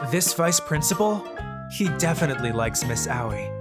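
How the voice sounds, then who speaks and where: A dry, secretly brilliant schoolboy, voiced by a man, talking through his thoughts in a flat, wry monologue. Music plays clearly underneath. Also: fairly high pitch, moderate pace. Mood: deadpan. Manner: deadpan inner monologue. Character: dry, secretly genius teenage boy student.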